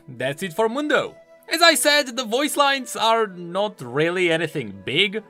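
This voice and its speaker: A man's voice: high-pitched, sharp tone. A man hosting a video, giving scripted commentary on a game character in an explainer style. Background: music.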